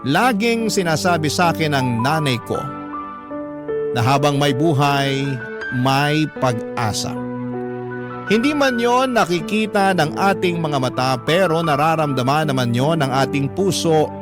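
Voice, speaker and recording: A man's voice: a little high, expressive, unhurried. A man playing a hopeful, devoted Filipino son who narrates his life story in a solemn, sentimental scripted style. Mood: hopeful, heartfelt. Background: music.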